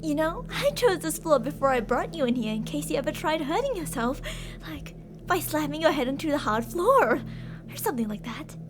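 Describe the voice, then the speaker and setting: A high-voiced, obsessive female captor, acted by a woman in an ASMR audio roleplay. She coos sweetly and threatens in the same breath, her pitch swinging theatrically. There is clear noise behind her voice. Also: very animated, normal speed. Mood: softly menacing. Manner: sugary endearments, menacing, theatrical. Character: obsessive yandere woman captor, doting yet menacing.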